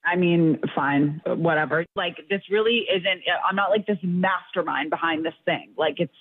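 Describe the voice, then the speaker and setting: A woman's voice: lowish voice, normal speed, husky. A woman calling a radio show by phone, arguing casually in a defensive, indignant manner over a tinny line. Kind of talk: casual, argumentative phone conversation. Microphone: phone call.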